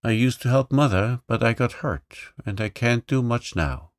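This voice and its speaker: A man's voice: medium pitch, animated, moderate pace, no echo, studio quality, pitched above the performer's own voice, close to an everyday voice. A man doing a meek, lame little boy. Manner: humble, plain-spoken, says "ain't"-style slang. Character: poor lame young boy, meek and cheerful.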